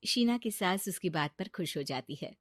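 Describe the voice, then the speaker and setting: A woman narrating a scripted family story in an expressive storytelling style. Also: fairly low pitch, moderate pace, no echo, clean studio sound.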